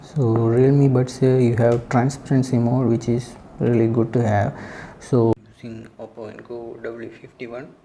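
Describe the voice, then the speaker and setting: A man giving a casual spoken product review in halting short phrases with pauses. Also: medium pitch, flat delivery, dark tone, slight background noise. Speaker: tech reviewer.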